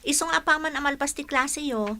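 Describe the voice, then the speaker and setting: An actress playing a caring, organizing schoolteacher in a radio drama. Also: female voice, sits high, fairly quick, sharp tone. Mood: caring, instructing. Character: caring, organizing woman schoolteacher talking to students.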